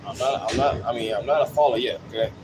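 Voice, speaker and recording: A man's voice: medium pitch, steady tone, fast talker. A man in his late thirties talking off the cuff in a casual, repetitive ramble, often checking in with "you know" tags. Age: late 30s. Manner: repetitive, peppered with "you know what I'm saying". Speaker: vlogger. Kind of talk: casual rambling monologue with anecdotes. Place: on a city bus. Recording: clear vehicle and air noise.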